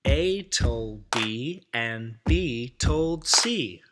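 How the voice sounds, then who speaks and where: A man's voice: mid-range voice, animated, very slow, heavy background noise. A man doing a playful, rhythmic read-aloud of a picture book for children. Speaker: teacher reading a children's book.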